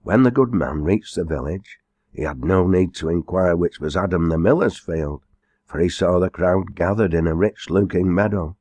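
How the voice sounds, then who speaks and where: A man narrates a folk tale from a book in a low-pitched voice. His delivery is measured and formal. The recording is dry, with very little room sound. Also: a little mellow, dry recording. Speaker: audiobook reader. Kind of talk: scripted storybook narration.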